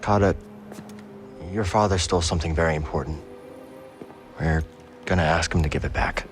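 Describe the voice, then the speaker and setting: Man playing a steady, lower-voiced game hero. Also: moderate pace, faint music behind, natural voice. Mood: gentle. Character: steady, resolute heroic rebel offering mercy. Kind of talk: dramatic video game cutscene acting.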